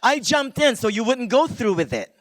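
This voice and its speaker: An actor playing a quaint, innocent, cheerful old guardian angel in a live radio play. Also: male voice, very high-pitched, animated, moderate pace, a bit bright, no room sound. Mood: earnest, chirpy.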